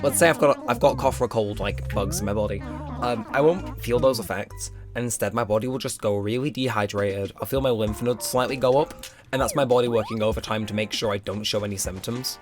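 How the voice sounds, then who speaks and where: A young man with a slightly hoarse, strained throat, rambling casually with lots of fillers while commenting on a game. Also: fairly low pitch, quick pace. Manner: rambling, filler-heavy, self-mocking. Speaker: let's play host. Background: game music.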